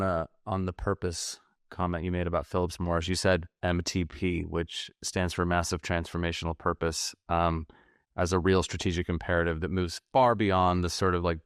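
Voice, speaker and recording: Male host, low resonant voice, measured, dry studio sound. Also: clear, resonant tone, no room sound. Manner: measured, thoughtful, sets up the question at length. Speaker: podcast host. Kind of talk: asking an interview question.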